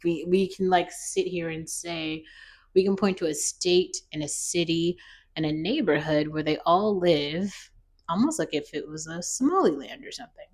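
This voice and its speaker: A woman's voice: fairly low pitch, animated, moderate pace, gravelly, darkish tone. A woman with an American accent giving casual, opinionated commentary in a rambling, conversational way, as a vlogger talking off the cuff.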